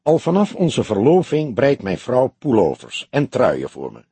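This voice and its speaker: Audiobook role: male reader as older police detective, very husky, grave, deliberate, dry wit, close dry sound. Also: medium pitch, wildly swooping pitch, mellow, no echo, heavily acted. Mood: pensive. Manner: deliberate, explanatory, dryly humorous. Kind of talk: audiobook character dialogue.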